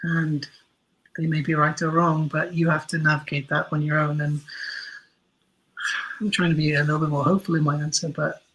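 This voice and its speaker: A man's voice: a little high, level tone, normal speed, pauses often, a bit bright, low-quality recording. A man giving thoughtful, opinionated answers as an interview guest, repeating points for emphasis. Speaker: interview guest, audio drama writer.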